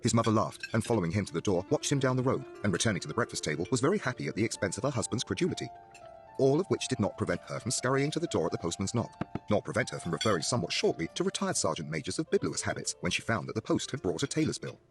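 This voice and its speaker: A man's voice: on the low side, very animated, racing, soft background music. A man narrating a horror story in a dramatic, scripted audiobook style.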